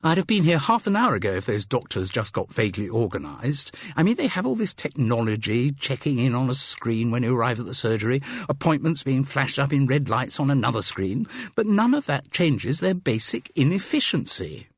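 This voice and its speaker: A man's voice: medium pitch, sing-song, a little fast, few pauses, breathy edge, no room sound, clean studio sound, pitched above the performer's own voice. A man voicing a prim, fussy older British woman who complains in a clipped way, in an audiobook. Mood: grumbling. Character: prim, sensible older woman, grumbling and reserved.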